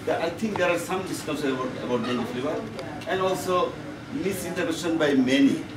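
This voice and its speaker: Echoey, higher-pitched Bangladeshi man lecturing. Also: fast talker, a crowd in the background. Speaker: medical professor, conference speaker. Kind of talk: conference lecture. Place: conference meeting room. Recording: echoey, noisy room, distant microphone.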